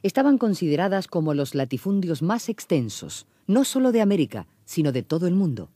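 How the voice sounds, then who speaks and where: A man's voice: sits high, very animated, a little fast, warm tone, dry recording. A man reading factual scripted narration in a radio documentary drama.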